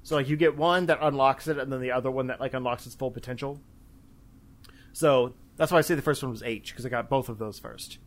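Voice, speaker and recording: Guy on a gaming podcast, rambling and kinda hesitant, average pitched voice. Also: a little fast. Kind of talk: casual chat about video games.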